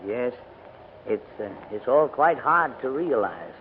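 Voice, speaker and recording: A noisy, narrow vintage radio recording carries a courteous old English gentleman. He hems and hesitates, and his pitch swings up and down freely as he frets in period radio-drama fashion. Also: big pitch swings, takes it slowly. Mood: grieving, restrained. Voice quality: hesitant, hemming delivery. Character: courteous, dithering elderly English gentleman escorting refugee children. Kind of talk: 1940s radio drama acting.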